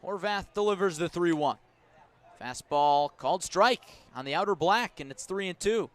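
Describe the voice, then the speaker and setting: A man doing live baseball radio play-by-play, with a measured delivery that lifts on action calls and descriptive asides between pitches. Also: animated, fairly bright tone. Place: outdoor ballpark broadcast position above the stands.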